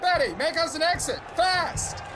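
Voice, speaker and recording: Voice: very high-pitched, lively intonation, moderate pace, a crowd in the background. An actor playing a pompous, vain squad commander in an audio drama, speaking in a grandiose, commanding way. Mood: urgent, commanding. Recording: music behind the voice.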